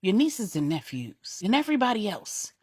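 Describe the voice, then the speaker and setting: A woman voicing an older man, a steady, grieving stepfather, speaking earnestly and pleadingly in a storytelling reading. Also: mid-range voice, moderate pace, husky, no echo, clean studio sound, voice pushed up. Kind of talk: audiobook-style storytelling.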